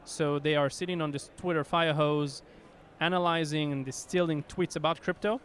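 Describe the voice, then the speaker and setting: A man's voice: on the high side, fairly even intonation, moderate pace, a bit bright. A man with an Israeli accent, an executive answering interview questions with many fillers and restarts. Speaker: company executive guest. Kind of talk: conference interview.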